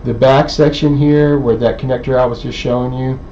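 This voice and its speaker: A man giving an unscripted technical demonstration in a halting, hesitant way, thinking aloud as he explains. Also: medium pitch, steady tone, mellow. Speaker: presenter of an electronics how-to video. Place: indoor electronics workbench. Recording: dull lo-fi audio with clear background noise.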